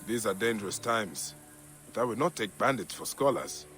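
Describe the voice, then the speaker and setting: A calm ancient warrior and protector in a game cutscene. His voice sits fairly low, measured and dryly witty, with music playing behind it. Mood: calm, wry. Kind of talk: video game cutscene acting.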